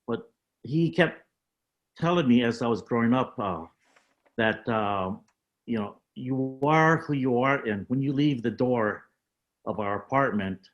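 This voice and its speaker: An older man speaks over a video call as a panel guest, reflecting on his life story. He talks in a measured, halting way, pausing on fillers as he picks his words. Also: mid-range voice, expressive, muffled recording.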